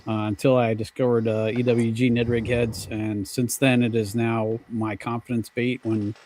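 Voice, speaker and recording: An American man talks casually as a guest on a podcast. His voice has an ordinary middle pitch for a man, and he comes from Pennsylvania. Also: little pitch movement, moderate pace, darkish tone. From United States, Pennsylvania. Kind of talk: casual chat.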